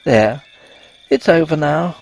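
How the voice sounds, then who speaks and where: Man voicing an anxious young Victorian wife, high-pitched. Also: animated, takes it slowly, vocal fry, breathy edge, soft background music, exaggerated voice, low-quality recording. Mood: brightening. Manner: tender, earnest, refined speech. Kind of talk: storybook character voice in a gothic tale.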